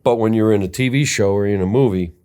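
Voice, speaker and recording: A man's voice: on the low side, fast, a little mellow, clean tone. A man hosting a podcast, a stand-up comedian talking about his work in a blunt, matter-of-fact way. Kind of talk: casual podcast chat.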